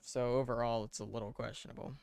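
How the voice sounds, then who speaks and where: A man streams a video game and talks through it casually as he plays. His mid-pitched voice is full of creak and gravel. He comes across as dry and deadpan, often poking fun at himself. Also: quick pace, soft background music. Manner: dry, self-deprecating, deadpan. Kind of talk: live gameplay commentary, casual chat.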